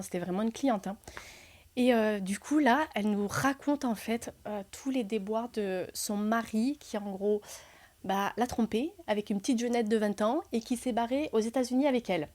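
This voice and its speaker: A young woman co-hosting a real estate podcast, casually telling a lively anecdote with frequent fillers and laughs. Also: mid-range voice, moderate pace. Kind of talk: casual storytelling chat.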